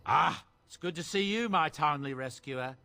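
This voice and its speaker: A man's voice: fairly high pitch, normal speed, creaky, bright, forceful tone. A man voicing a genial middle-aged dwarf merchant in a fantasy game, with an obsequious salesman's courtesy. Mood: eager, grateful.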